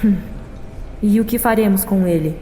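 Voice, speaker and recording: A woman playing a polite, thoughtful tiefling woman in an audio drama, gentle in manner. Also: mid-range voice, normal speed, slight background noise, close to an everyday voice. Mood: earnest. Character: courteous, thoughtful tiefling woman, the leader's niece. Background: music.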